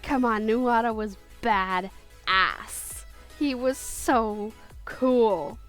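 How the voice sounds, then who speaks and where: A woman's voice: fairly high pitch, very slow, faint music behind. A woman casually chatting through her favorite picks, gushing and giggly, often restarting her sentences. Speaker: video creator. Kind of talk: casual countdown chat.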